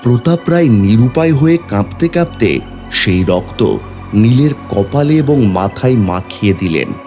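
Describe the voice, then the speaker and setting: A man's voice: medium pitch, expressive, a little slow, mellow, faint music behind. A man giving dramatic, scripted narration of a horror story.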